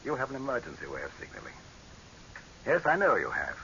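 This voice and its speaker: An actor playing a pompous, tyrannical dictator in 1930s radio horror drama style, haughty and imperious. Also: male voice, medium pitch, huge pitch swings, fast talker, vocal fry, sharp tone, exaggerated voice. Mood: angry, commanding. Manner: imperious, haughty, barking orders. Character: pompous tyrannical male dictator, commanding, scornful, cracking into panic. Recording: hissy, dull 1930s radio recording.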